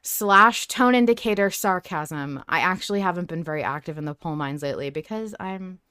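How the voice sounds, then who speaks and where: A woman with a slightly low voice co-hosts a casual podcast. She talks conversationally, teasing and wryly sarcastic as she makes her points. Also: lively intonation, moderate pace, pushed and bright, clean tone. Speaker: podcast host.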